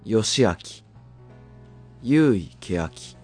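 Low-voiced man reading very slowly over music. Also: lively intonation, darkish tone. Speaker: announcer reading credits. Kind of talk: scripted narration.

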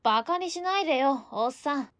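A woman's voice: high-pitched, lively intonation, a little fast, a bit bright, dry recording. A woman playing a strict, feisty eighteen-year-old landlady in a Japanese radio drama, with a scolding, cheeky and bossy manner.

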